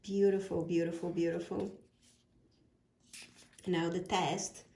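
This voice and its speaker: A Brazilian woman with a Portuguese accent talks slowly and gushes, repeating words of praise. Her voice is warm and mellow. The recording carries a roomy echo. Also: lowish voice, flat delivery, creaky. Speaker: oracle deck creator. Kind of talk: product unboxing vlog.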